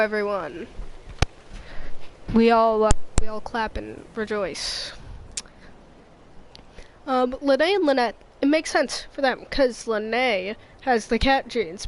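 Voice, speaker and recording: A woman gives casual commentary as she plays a game. Her voice is a bit high and she takes her time, rambling with playful, dry sarcasm. There is faint noise behind her. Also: low-quality recording. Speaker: game streamer.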